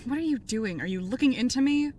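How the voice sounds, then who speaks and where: A woman's voice: fairly high pitch, lively intonation, quick pace, warm tone, slight background noise, lightly acted. A woman playing a worried, exasperated podcast producer in her thirties in an audio drama, earnest and pleading. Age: 30s.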